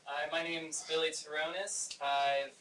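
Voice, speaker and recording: A man's voice: on the high side, little pitch movement, unhurried, pushed and bright, roomy sound. A man from coastal North Carolina, a lifeguard, briefly introducing himself at a public meeting. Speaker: ocean rescue lifeguard. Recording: distant mic, faint room noise.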